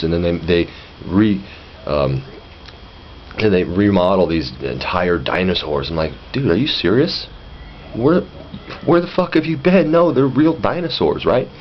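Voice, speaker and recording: A low-pitched man talks casually and bluntly, with lively swings in intonation and an exasperated, opinionated manner. The home recording is muffled, with noticeable background noise. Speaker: vlogger. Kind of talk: casual opinionated monologue with acted-out dialogue.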